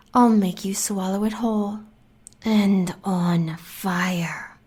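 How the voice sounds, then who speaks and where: An American woman playing a sadistic, sweetly menacing werewolf huntress in an audio roleplay, often slipping toward a breathy whisper. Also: medium pitch, darkish tone. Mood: cold fury.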